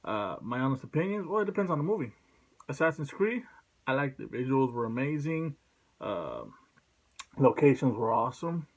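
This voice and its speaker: A man speaking casually as a video host, blunt and sweary, in a halting, rambling way. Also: medium pitch, very animated. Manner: blunt, profane. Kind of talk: casual opinion vlog.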